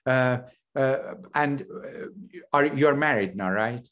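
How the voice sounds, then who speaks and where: An older man hosts an informal interview on a video call. His questions are curious and come in halting phrases, at an ordinary middle pitch for a man. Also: a bit bright. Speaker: interview host. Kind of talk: conversational interview. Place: small furnished room at home, video call.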